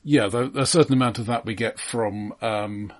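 A man co-hosting a casual film discussion, explaining points in a measured, informative way. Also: on the low side. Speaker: podcast co-host reviewing films.

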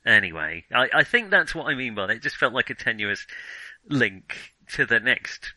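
A man's voice: medium pitch, very animated, normal speed, sharp tone, no echo, poor audio. A man chatting casually as a film podcast host, opinionated and thinking aloud as he reviews.

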